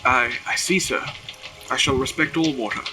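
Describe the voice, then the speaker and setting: A man's voice: mid-range voice, normal speed, background noise, lightly acted. An actor playing a deferential, earnest young oarsman in an audio drama, speaking formally and dutifully. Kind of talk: period audio drama acting.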